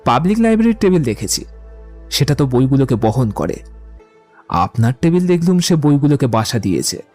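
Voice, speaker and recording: Witty, eloquent young romantic man for audio drama, male actor, theatrical, lively swings in pitch, soft music behind. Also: moderate pace, natural voice. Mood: fervent, poetic. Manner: eloquent, playful, declamatory. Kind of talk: dramatised audiobook dialogue acting.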